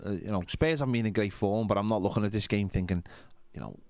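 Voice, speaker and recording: A man's voice: fairly low pitch, fast, darkish tone, no room sound. A man discussing football casually as a podcast panellist in a studio, thinking aloud somewhat hesitantly.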